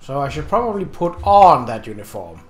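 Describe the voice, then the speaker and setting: A man's voice: a little high, very animated, brisk, roomy sound. A man casually commenting on his game as he plays, chatty and easily distracted, thinking aloud. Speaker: Let's Play host.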